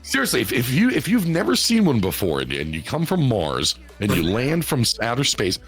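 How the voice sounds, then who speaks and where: Male podcast co-host, late thirties, husky voice that swings all over the place, streetwise and profane, faint music underneath. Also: mid-range voice, a little fast. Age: 30s. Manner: animated, profane, repeats "you know what I'm saying". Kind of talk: casual chat.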